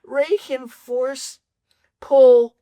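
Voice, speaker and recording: A woman co-hosting a film podcast, ranting in exasperation about a movie's plot holes, her voice going a bit raspy. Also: high voice, very slow, dry recording. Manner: exasperated, ranting, animated complaints. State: voice getting raspy. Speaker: podcast co-host. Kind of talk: casual film critique chat.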